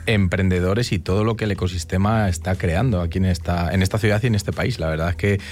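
Male interview guest, middle-aged, Castilian accent, low pitch, rapid and emphatic conversational delivery. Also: a little mellow, a little hiss or hum. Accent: Castilian Spanish. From León, northern Spain. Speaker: interview guest, city councillor.

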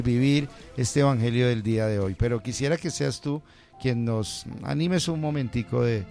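A man, a priest, gently interviewing a guest about her faith and reading the day's Gospel solemnly. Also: medium pitch. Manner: gentle, affirming, reads scripture solemnly. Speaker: priest hosting.

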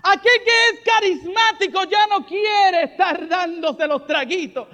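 High-voiced fervent preacher man, hall echo. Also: a little slow. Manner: fervent, builds to shouting refrains; jokey asides with soft laughs. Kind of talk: charismatic sermon. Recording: reverberant hall, dull lo-fi sound.

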